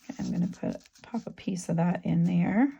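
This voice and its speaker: A middle-aged woman with a sore throat whose voice keeps cutting in and out, talking through a craft tutorial in a relaxed, halting way with lots of ums. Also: lowish voice, gravelly, slightly rough, highs cut off. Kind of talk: casual craft tutorial narration.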